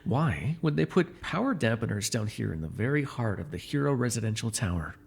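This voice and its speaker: A man's voice: medium pitch, very animated, brisk, vocal fry, slight background noise. A man playing a smug, menacing male supervillain in an ASMR roleplay, taunting and commanding the listener with condescension. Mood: sly, menacing.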